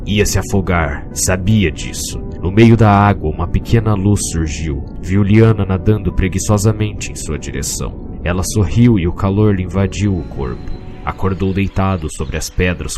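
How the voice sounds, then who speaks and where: A man narrates a scripted story in a deep voice. His delivery is solemn and literary, like a storybook being read aloud, with soft music underneath.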